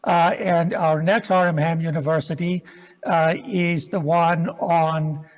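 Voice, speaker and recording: A man hosting an event, making unscripted closing announcements with a halting, hesitant delivery. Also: on the high side, steady tone. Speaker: event host closing the session.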